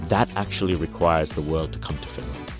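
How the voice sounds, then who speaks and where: A man's voice: extremely low pitch, steady tone, brisk, faint music behind. A middle-aged man from Canada, a technology executive guest telling long stories in a rambling conversational way. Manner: talkative, rambling with restarts. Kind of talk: conversational interview storytelling.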